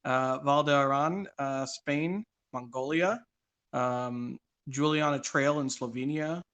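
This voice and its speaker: A man hosting a casual interview show, conversational and halting as he asks questions and reads things out. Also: medium pitch, a bit bright. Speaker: guest host of a live read-along show. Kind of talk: casual interview, reading a list aloud with filler pauses.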